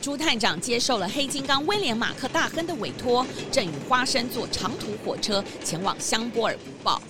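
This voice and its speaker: A woman's voice: fairly high pitch, expressive, brisk, over music, lightly acted. A woman narrating a children's mystery audio drama in a theatrical storytelling style. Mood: expository.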